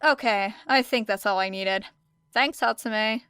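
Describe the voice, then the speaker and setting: A woman's voice: medium pitch, unhurried, bright, forceful tone, clear, resonant tone, dry recording. A woman voicing a guarded teenage girl, a hero student who is apologetic and casually understated, in an audiobook story. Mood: hasty, apologetic.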